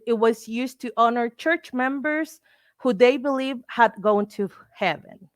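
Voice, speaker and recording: A Mexican-accented woman, a native Spanish speaker, presents an informal lesson. Her voice sits at an average pitch for a woman. She explains step by step, often pausing to find the word, and the sound is dry, with little room in it. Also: dry recording. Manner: explanatory, halting, searching for words. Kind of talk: informal educational talk with slides.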